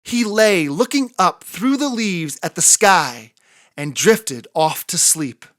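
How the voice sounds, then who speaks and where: A woman narrating a children's picture book in an expressive read-aloud style with dramatic emphasis. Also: on the low side, takes it slowly, dry recording. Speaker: children's story reader.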